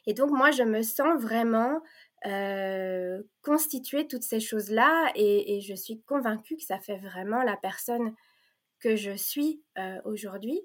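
Hesitant, earnest woman guest. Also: medium pitch. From born in Provence, raised in Belgium. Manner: hesitant, many fillers and restarts, warm and earnest. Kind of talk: casual conversation.

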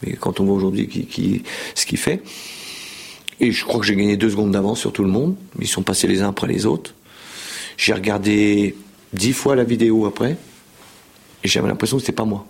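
A man's voice: lowish voice, fairly even intonation, a little fast, vocal fry, long pauses, breathy edge. A man in his fifties telling his story in a casual interview, rambling with frequent repeated words and fillers. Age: 50s. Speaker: show jumping rider.

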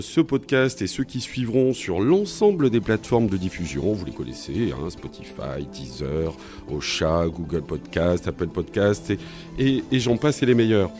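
A man with a low voice hosts a podcast and wraps up the episode. His voice has a mellow warmth, and his formal speech is broken up by hesitant fillers. Faint music plays behind him. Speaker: podcast host. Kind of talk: podcast wrap-up.

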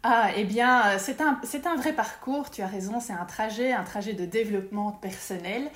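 A woman's voice: mid-range voice, normal speed, echoey room. A woman, a feng shui consultant, talking casually in an interview and explaining her work with many hesitations and restarts.

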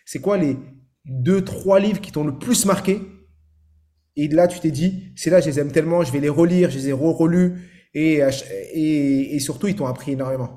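A man's voice: a little high, normal speed, mellow. A man hosting a casual interview podcast who affirms his guest often and asks long, rambling questions. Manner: affirming, frequent "d'accord". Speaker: podcast host.

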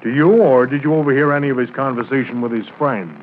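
Actor as patient police sergeant, tinny radio. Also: male voice, medium pitch, steady tone, natural voice. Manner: measured, gently steering, official. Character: patient, courteous, businesslike male police sergeant. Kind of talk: 1950s radio drama acting. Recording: tinny, noisy 1950s radio transcription.

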